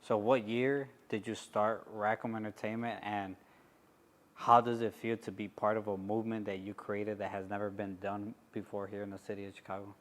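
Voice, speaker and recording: A male interviewer asks measured questions with a low voice. His delivery stays flat, with an "um" slipped in here and there. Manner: measured, with "um" between phrases.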